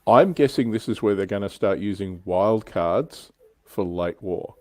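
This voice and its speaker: A man's voice: fairly low pitch, expressive, a little slow, no room sound. A man chatting casually as a panelist on a hobby livestream.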